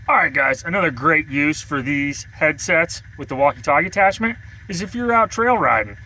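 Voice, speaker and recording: A man's voice: a little high, expressive, normal speed, bright, forceful tone, rough recording. A man giving a casual, informal product demonstration to viewers in an outdoor review vlog. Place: outdoors, partly riding in a vehicle.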